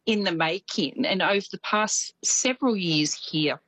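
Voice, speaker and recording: An Australian woman with a slight New Zealand lilt, chatting on radio as a correspondent, enthusiastic and quick to laugh. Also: fairly low pitch, a bit bright, no room sound, rough recording. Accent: Australian with some New Zealand. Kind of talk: radio chat.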